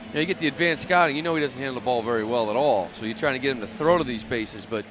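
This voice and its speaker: A man's voice: mid-range voice, racing, fairly bright tone, soft background music. A man with an American accent giving conversational analysis during a live baseball broadcast. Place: ballpark broadcast booth.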